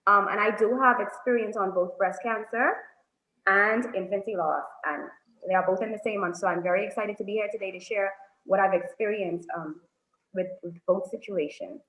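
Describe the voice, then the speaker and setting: A woman, speaking softly and hesitantly as a guest on a video call. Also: medium pitch, moderate pace. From Bahamas. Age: 30s. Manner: soft-spoken, hesitant with frequent ums. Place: indoors, small room. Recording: roomy, dull phone audio.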